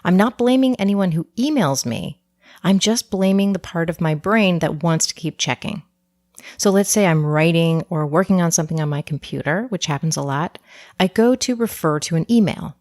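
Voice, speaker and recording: A woman's voice: on the low side, animated, normal speed, a little mellow, no echo. A woman hosting a podcast, affirming her guest and chatting through a short solo segment. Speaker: podcast host.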